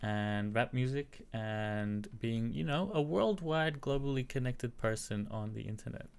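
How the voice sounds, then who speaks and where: A man with a slight American accent, chatting casually while teaching a live-streamed software tutorial and answering viewers. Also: mid-range voice, unhurried, gravelly, smooth.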